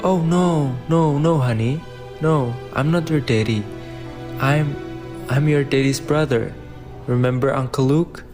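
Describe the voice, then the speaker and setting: A man playing a kind uncle who speaks gently and reassuringly. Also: mid-range voice, measured pace, music in the background, lightly acted. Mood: coaxing.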